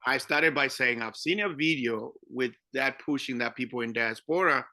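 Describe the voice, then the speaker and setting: A man's voice: medium pitch, normal speed, pushed and bright, no echo. A man with a Zimbabwean accent giving his opinions conversationally as a podcast guest.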